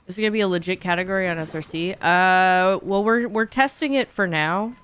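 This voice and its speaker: A woman's voice: on the low side, brisk, a bit bright, clear, resonant tone. A young woman streamer chatting casually and candidly as she plays, swearing freely and thinking aloud into a close microphone. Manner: candid, sweary, talking through thoughts aloud. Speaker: video game streamer and speedrunner. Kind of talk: casual livestream gameplay chat. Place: small home streaming room, indoors.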